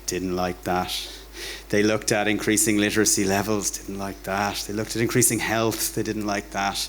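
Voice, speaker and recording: Low-voiced Irish man giving a talk. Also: flat delivery, moderate pace, a little hiss or hum. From Ireland. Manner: conversational, wry, often repeating words. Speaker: academic giving a public talk. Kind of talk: informal public lecture with dry humour. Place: bar hosting a talk night.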